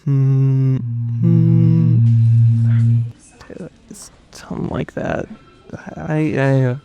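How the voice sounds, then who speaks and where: Bewildered survivor, fairly high voice, vocal fry, hesitant stammer, naturalistic audio drama. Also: male voice, unhurried, darkish tone, soft background music. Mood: confused, searching. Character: confused, shaken male sole survivor being questioned.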